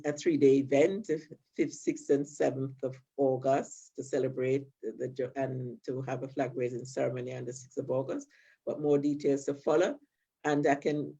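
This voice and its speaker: A woman's voice: low-pitched, mellow, muffled recording. An older woman from Jamaica with a Jamaican accent, giving upbeat, chatty closing remarks at an online community event, with frequent fillers.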